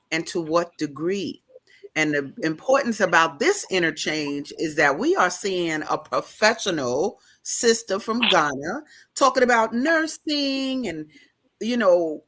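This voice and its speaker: Bright-voiced New woman, rambling host. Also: fairly low pitch. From New York. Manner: rambling, animated, talks with her hands in long run-on questions. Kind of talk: casual interview question. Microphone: video call.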